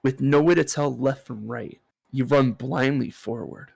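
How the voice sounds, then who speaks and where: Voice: mid-range voice, measured pace, gravelly, a little mellow, breathy edge, no room sound. A game streamer co-hosting a visual novel playthrough, moving between dramatic narration and casual chat.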